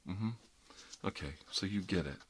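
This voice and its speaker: A man's voice: deep voice, moderate pace, slight background noise. A middle-aged man doing an ASMR roleplay as a dentist, chatting casually in a rambling, jokey, one-sided conversation peppered with "you know". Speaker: ASMR performer playing an emergency dentist.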